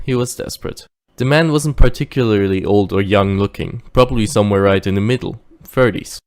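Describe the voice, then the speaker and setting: Man acting a cautious driver, first-person audio drama, measured storytelling, fairly low pitch. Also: natural voice. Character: dutiful, wary male driver recounting his tale.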